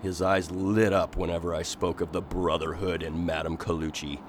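An actor playing an earnest, agitated Victorian gentleman in a radio drama, pleading and intense. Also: sits low, flat delivery, normal speed, slight background noise, lightly acted.

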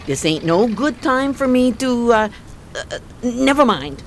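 A woman's voice: fairly high pitch, big pitch swings, unhurried, a little hiss or hum. A woman playing a wary, brassy young streetwalker in a 1920s New York radio drama, with brusque street slang. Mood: irritated. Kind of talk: radio drama acting, 1920s period piece.

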